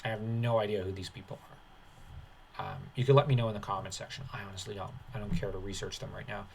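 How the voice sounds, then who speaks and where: A man hosting a commentary channel, talking casually and haltingly, with a dismissive, sarcastic streak. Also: on the low side, steady tone, vocal fry, faint music behind, rough recording.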